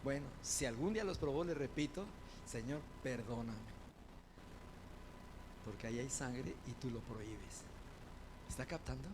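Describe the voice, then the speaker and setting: A man preaching a sermon as pastor, building to loud fervent proclamations and dropping into quick conversational asides. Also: medium pitch, noisy background.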